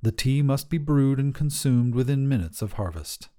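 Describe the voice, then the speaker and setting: A man's voice: mid-range voice, expressive, moderate pace, warm tone. A man narrating scripted short fiction for a podcast.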